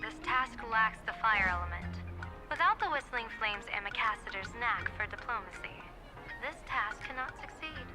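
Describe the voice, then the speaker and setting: A woman plays an imperious fantasy game general who reads fortunes. Her voice is on the high side, formal and grand with a teasing pride, set over clear background music. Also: animated, normal speed, natural voice. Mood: grave, formal. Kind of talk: video game voice acting. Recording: music behind the voice.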